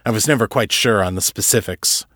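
Gruff disembodied ex-commander for an audiobook, played by a male narrator: folksy, cagey, wry, very dry close sound. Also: on the low side, fairly quick, pushed and bright, dry recording. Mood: explaining.

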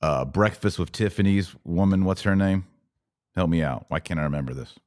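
A man's voice: low voice, moderate pace, darkish tone, no echo, studio quality. A man with an American accent giving live podcast commentary in a conversational way, posing pointed rhetorical questions. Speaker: podcast host.

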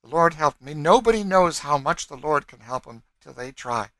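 A desperate young mother on the run, played by a male narrator, in a high, bright voice. She pleads with fervent, frightened earnestness in a dramatized novel reading. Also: moderate pace, no room sound. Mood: fervent, breathless. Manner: pleading, earnest, dialectal grammar.

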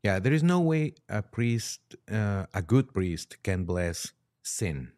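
A man speaking as a priest and podcast guest, giving careful, somewhat halting explanations in conversation. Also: lowish voice, big pitch swings, a little mellow. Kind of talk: conversational interview answers.

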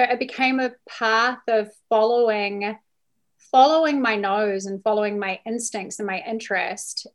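A woman with a New Zealand accent telling her story casually and candidly as a podcast guest. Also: fairly high pitch.

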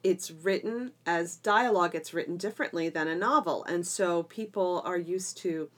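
A woman's voice: on the low side, moderate pace, fairly bright tone. A woman co-hosting a homeschooling podcast in casual, enthusiastic conversation. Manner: enthusiastic, self-described geeky. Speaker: podcast co-host and drama teacher.

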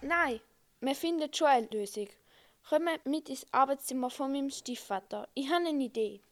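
A woman's voice: high-pitched, normal speed, close to an everyday voice. A female amateur playing Pierre, a welcoming boy, with careful recited delivery in a children's radio play. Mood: reassuring. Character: Pierre, welcoming boy host with a secretive house.

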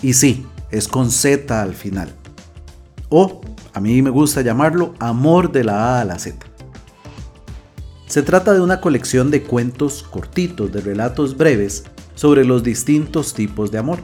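Guy hosting a book review, friendly, talks right to you, soft music under it. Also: mid-range voice, expressive, measured pace. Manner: friendly, direct address to the listener.

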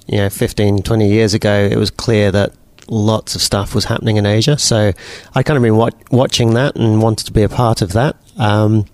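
A man's voice: fairly low pitch, no echo. A New Zealand-born man in his forties with a British accent, answering interview questions casually with frequent restarts. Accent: British English. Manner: relaxed, repeats words and restarts often. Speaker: guest entrepreneur.

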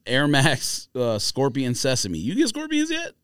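A man's voice: medium pitch, huge pitch swings, unhurried, fairly bright tone, clean tone, no room sound, clean studio sound. A man hosting a podcast with blunt, slangy, teasing banter. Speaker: podcast host.

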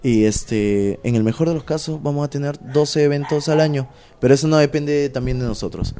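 A man's voice: fairly high pitch, fairly quick, mellow, slight background noise. A Peruvian man, a pro wrestler being interviewed, talking casually and animatedly with lots of slang and fillers. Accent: Peruvian Spanish. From Peru.

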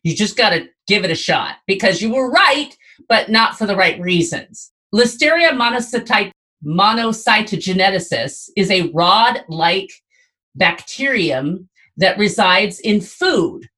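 A woman's voice: medium pitch, animated, a little slow, sharp tone. A woman hosting a casual podcast quiz, explaining things in a conversational way. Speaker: podcast host quizzing a guest.

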